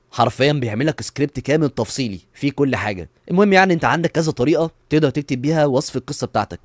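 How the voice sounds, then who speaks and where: An Egyptian man hosts a casual tutorial. He talks extremely quickly in a medium pitch, with enthusiastic emphasis. The recording is close and dry. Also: no echo. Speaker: YouTube tutorial host. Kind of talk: casual explanatory tutorial voice-over.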